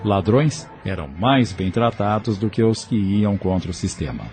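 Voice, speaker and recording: A man's voice: lowish voice, lively intonation, unhurried, darkish tone. A man with a Brazilian accent reading scripted narration for an audio novel. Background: music.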